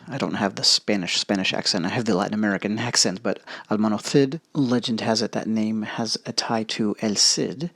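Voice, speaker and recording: A lower-pitched man with a gravelly edge and a bright, forward tone narrates in a relaxed, conversational way. He leans on fillers and casual asides, and the voice-over sounds dry and close. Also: dry recording. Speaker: history video host. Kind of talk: casual narrated travel commentary. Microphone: voice-over recorded separately.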